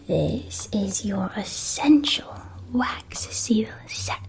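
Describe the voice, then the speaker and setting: A woman playing a gracious, gushing shopkeeper of witch school supplies in an ASMR roleplay, speaking in a soft, breathy whisper with genteel, formal phrasing. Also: mid-range voice, animated, heavily acted. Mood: gracious, attentive. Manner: genteel, unhurried, formal old-fashioned wording.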